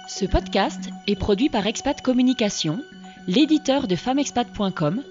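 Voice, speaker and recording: A woman hosting a podcast and asking measured, scripted interview questions. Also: on the high side, animated, over music. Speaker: podcast host. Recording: dull lo-fi sound, very dry.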